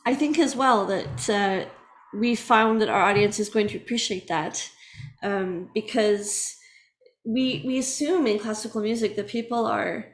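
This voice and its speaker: A woman's voice: mid-range voice, unhurried. A woman hosting a casual interview for a music organization, upbeat and chatty, laughing between questions. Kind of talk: casual video-call interview.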